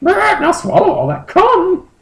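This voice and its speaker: A man puts on a female bird monster for a comic story reading. The voice is a strained, squeaky falsetto that swoops wildly, gleeful and commanding. The room echoes. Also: wildly swooping pitch, measured pace, creaky, heavily acted. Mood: eager, demanding. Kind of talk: comedic dramatic reading of fan fiction.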